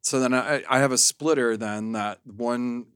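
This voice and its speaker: The guy at the end of the table on a chatty podcast, easygoing and bright-toned, spinning an anecdote and voicing everyone in it. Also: mid-range voice, fairly even intonation, a little slow, smooth. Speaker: podcast guest. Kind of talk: casual chat, storytelling.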